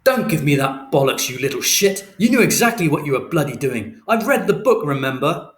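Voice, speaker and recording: A man voicing a furious middle-aged father who shouts and swears, recorded with a roomy echo. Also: fairly high pitch, big pitch swings, brisk, voice pushed up.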